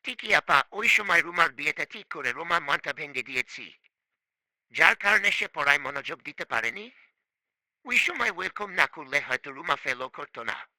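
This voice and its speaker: A man's voice: on the high side, expressive, moderate pace, bright, forceful tone, no echo, low-quality recording. A man playing a stern, frustrated middle-aged father in a cartoon, scolding and complaining yet loving. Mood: regretful, defensive. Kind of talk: amateur cartoon voice acting.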